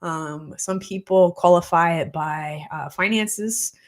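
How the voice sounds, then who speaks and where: A woman's voice: fairly low pitch, takes it slowly. A woman in her forties, a holistic practitioner, chatting on a live stream in a reflective way with a slightly congested voice. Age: 40s. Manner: chatty and reflective, many fillers. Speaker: holistic practitioner hosting a weekly live show. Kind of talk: casual live-stream talk, greeting viewers. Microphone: streaming mic.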